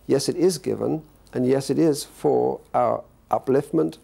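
A man's voice: medium pitch, a little slow, creaky, warm tone, slightly rough. An older man, a professor, answering an interview question thoughtfully in a book-lined study, searching for his words as he goes. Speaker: professor interviewed about a cathedral. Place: indoor study lined with bookshelves.

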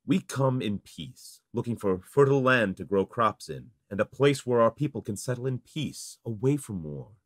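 A man's voice: mid-range voice, animated, moderate pace, hardly any pauses, no echo, clean studio sound, natural voice. A man voicing a courteous, dignified marquis in a visual novel, speaking formally and with measure. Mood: calm, courteous. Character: courteous, dignified male human marquis seeking peace. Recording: loud music over the voice.

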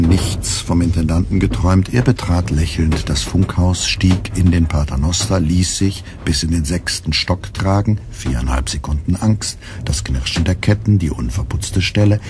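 A man's voice: low voice, unhurried, background noise. A man narrating a literary radio play in a dry, ironic storytelling manner.

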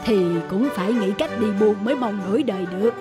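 A woman's voice: medium pitch, fast talker, over music, natural voice. A woman playing a slick, boastful, greedy merchant man in a cartoon, breezy and bragging. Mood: smug. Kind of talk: cartoon voice acting.

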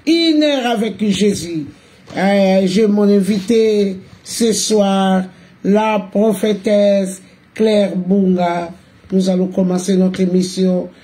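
Slow-speaking woman hosting live. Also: mid-range voice, dark tone. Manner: slow, drawn-out blessings. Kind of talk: slow live-stream church talk. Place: small room indoors.

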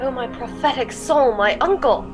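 A woman's voice: high voice, moderate pace, music in the background, natural voice. A woman acting an impassioned, impulsive young noble with theatrical, exclamatory Shakespearean delivery in a tabletop game podcast. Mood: anguished, vengeful.

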